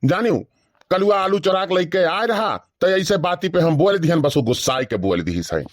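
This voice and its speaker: A man's voice: sits high, lively intonation, normal speed, dry recording, clean studio sound. A man voicing a gossipy, complaining village uncle in an Awadhi cartoon comedy, with an Awadhi accent. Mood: defensive.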